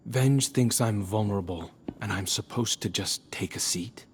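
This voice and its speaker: A man's voice: on the low side, moderate pace, slight background noise, lightly acted. An actor playing a resentful, defiant male guardian in a video game, bitter and pointed in his delivery. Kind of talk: video game dialogue acting.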